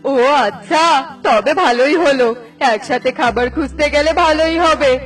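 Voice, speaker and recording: নারীকণ্ঠে অলস, ঘুমকাতুরে পাখি-স্বামী, চড়া গলা. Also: female voice, high-pitched, moderate pace, soft background music, close to an everyday voice. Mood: drowsy, grumbling. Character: lazy, sleepy, henpecked male bird husband. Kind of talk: Bengali children's cartoon dialogue.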